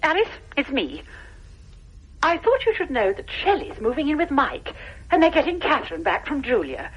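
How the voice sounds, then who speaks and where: High, chatty woman on the telephone. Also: sing-song, moderate pace, muffled recording. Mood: breezy. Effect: telephone filter. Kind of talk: radio drama acting.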